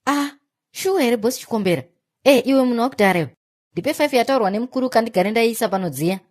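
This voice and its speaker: A woman's voice: a little high, expressive, fast talker, a little mellow. A woman voicing a harried, placating food seller with a Zimbabwean accent, taking orders in a comedy cartoon. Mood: put-upon.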